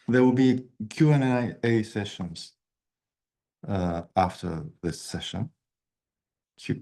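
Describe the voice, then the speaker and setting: A middle-aged man lecturing in English, which is not his first language, with a deep, mellow voice. He talks slowly and haltingly, full of fillers and restarts as he works through the material. It sounds like a university class.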